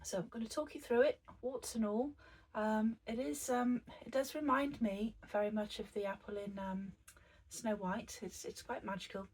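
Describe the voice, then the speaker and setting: A woman who is croaky after a virus, teaching an embroidery craft tutorial in a chatty, meandering way with many fillers and restarts. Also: a little high, fairly even intonation, normal speed, a little mellow, low-quality recording. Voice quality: husky.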